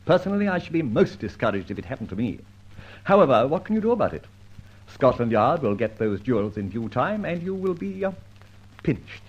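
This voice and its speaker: A man with a British accent playing a cheeky, unafraid gentleman adventurer, flippant and clipped, in an old-time radio detective drama. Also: mid-range voice, very animated, fairly quick, husky. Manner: flippant, clipped upper-class banter. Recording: muffled old radio recording, faint noise.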